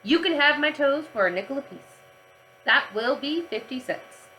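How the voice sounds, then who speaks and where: A woman's voice: sits high, lively intonation, moderate pace, bright, forceful tone, a little hiss or hum, low-quality recording. A woman voicing an earnest, stubborn young schoolgirl in a children's storybook. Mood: indignant. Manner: earnest, insistent.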